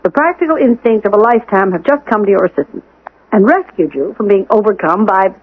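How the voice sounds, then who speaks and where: Casting: woman to play bossy, no-nonsense housekeeper; lively swooping delivery, firm and crisp, tinny vintage radio sound. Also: mid-range voice, brisk, lightly acted. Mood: instructive. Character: brisk, bossy, no-nonsense housekeeper to an anxious gentleman. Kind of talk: radio drama acting. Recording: tinny band-limited old radio broadcast.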